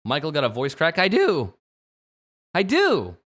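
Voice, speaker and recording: Playful young man, lively pitch. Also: measured pace. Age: 20s. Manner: playful, jokey, swings from mumbling to sudden shouts. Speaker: game streamer. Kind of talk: late-night livestream chat.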